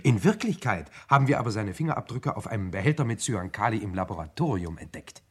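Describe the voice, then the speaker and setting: A self-assured police sergeant in a radio mystery. His voice is husky and moves freely up and down as he makes his points in a knowing, matter-of-fact way. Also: lowish voice, very animated, quick pace. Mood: knowing, pointed. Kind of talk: German radio crime drama acting.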